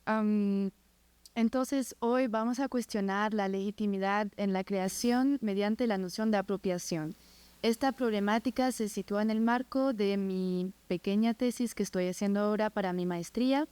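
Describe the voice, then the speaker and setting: A woman's voice: mid-range voice, darkish tone, clean tone, no echo. A woman in her twenties from France with a French accent, a non-native speaker giving a prepared academic presentation as a student. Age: 20s. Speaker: master's student presenting research.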